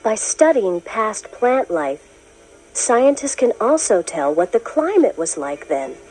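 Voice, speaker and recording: A woman reading a children's science book as scripted narration, in a clear, expressive storytelling manner. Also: medium pitch, slow pace, rough recording. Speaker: narrator of a children's educational read-along.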